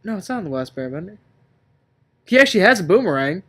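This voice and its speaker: A man's voice: high-pitched, big pitch swings, quick pace, pushed and bright. A man co-hosting a casual movie-review chat, talking in an exasperated, ranting, sweary way. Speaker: podcast co-host.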